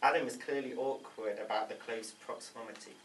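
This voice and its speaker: A man's voice: medium pitch, steady tone, a little fast. A man narrating scripted stage directions at a table read. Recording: slightly distant room mic, faint noise.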